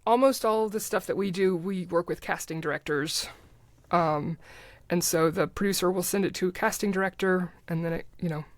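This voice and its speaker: A woman's voice: fairly low pitch, a little fast, dry recording. A woman talking casually as a podcast guest, explaining things informally and dropping in little quoted asides. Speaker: podcast guest, writer and advertising creative. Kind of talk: casual conversational interview, explaining.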